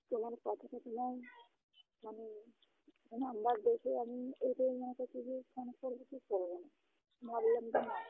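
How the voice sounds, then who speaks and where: A young woman arguing on a phone call, indignant and accusing, her voice often jumping high. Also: gravelly, no echo. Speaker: caller in a phone argument. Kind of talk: heated phone argument. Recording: tinny phone call recording.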